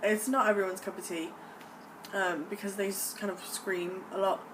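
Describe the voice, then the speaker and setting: A woman's voice: medium pitch, little pitch movement, moderate pace. American woman putting on a British accent. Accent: put-on British accent. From United States. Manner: gushing, enthusiastic. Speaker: vlogger. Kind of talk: casual talk to camera. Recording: voice a bit distant from the mic, faint background noise.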